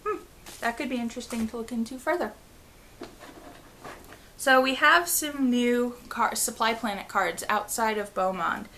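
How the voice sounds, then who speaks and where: A woman's voice: on the high side, measured pace, pauses often, pushed and bright, slight background noise, rough recording. A woman in her thirties casually talking through a board game expansion at home, reading card text aloud and trailing off mid-phrase. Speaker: vlogger. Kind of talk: casual unboxing walkthrough. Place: living room at home, indoors.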